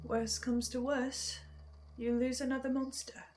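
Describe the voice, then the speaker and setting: A woman playing a tormented, hungry archivist in a halting, theatrical horror monologue addressed straight to the listener. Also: high voice, little pitch movement, darkish tone, a little hiss or hum. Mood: hushed, tempted. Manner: theatrical, halting, swinging from whispers to outbursts. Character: tormented, obsessive, hungry archivist confessing to the audience. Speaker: host of a horror listen-along series. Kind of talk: dramatic in-character monologue to the listener.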